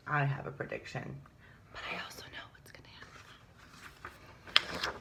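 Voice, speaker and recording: A woman with a low-pitched voice reads a picture book to young children as their teacher. Her delivery is sing-song and drawn out, dropping into hushed moments, with simple explanatory asides. A light layer of background noise sits behind her.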